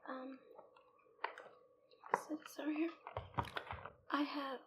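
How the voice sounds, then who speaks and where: A woman speaking in a soft, breathy whisper, gently and hesitantly walking the viewer through an ASMR video. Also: high-pitched, flat delivery, slow. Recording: clearly audible background noise.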